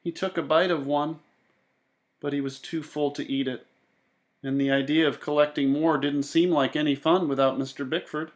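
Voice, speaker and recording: A man reading a children's story aloud in a theatrical, expressive way. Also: a little high, normal speed. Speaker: storybook reader. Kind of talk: animated children's story narration.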